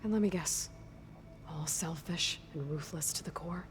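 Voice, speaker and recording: An actress playing a young video game heroine, direct, practical and skeptical, with an understated, plain-spoken delivery. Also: female voice, low voice, measured pace, soft background music. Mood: dry, skeptical. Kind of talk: video game character dialogue.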